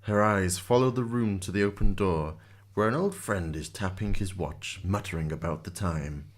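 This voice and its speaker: Male storyteller for radio drama; low-pitched, scripted narration. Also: normal speed.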